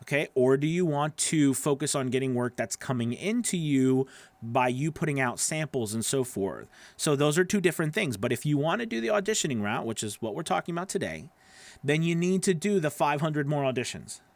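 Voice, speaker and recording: A man's voice: a little high, lively intonation, fairly quick, dry recording. Conversational male voice-over coach, dry close sound. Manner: conversational, explanatory, frequent "right?" and "okay" check-ins. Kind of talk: informal tutorial talk to camera. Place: small home studio with acoustic panels, indoors. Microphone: close broadcast microphone on boom arm.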